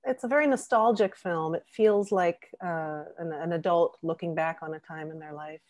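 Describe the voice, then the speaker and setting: A woman's voice: lowish voice, normal speed, darkish tone. A young woman interviewing a filmmaker over a video call, asking questions with many hesitations. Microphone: video call, earphone mic.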